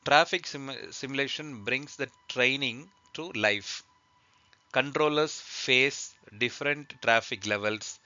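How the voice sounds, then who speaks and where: A man who is a non-native English speaker presents a slide lesson, partly reading, in a halting, hesitant way with repeated words. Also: mid-range voice, pushed and bright, smooth, dry recording, highs cut off. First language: not English. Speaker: presenter of an aviation training lesson.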